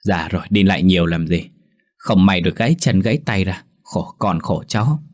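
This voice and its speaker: A man voicing a burly, drunk middle-aged son who scolds his old mother loudly and impatiently. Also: normal speed. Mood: dismissive. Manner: loud, scolding, booming.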